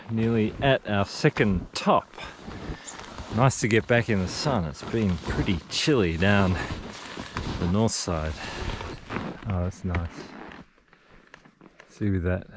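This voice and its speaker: A man's voice: lowish voice, takes it slowly, pauses often. A middle-aged man guiding a ski tour, chatting casually to an action camera on a snowy mountain. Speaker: ski guide. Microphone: action camera on a pole at arm's length. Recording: outdoor wind noise on an action camera.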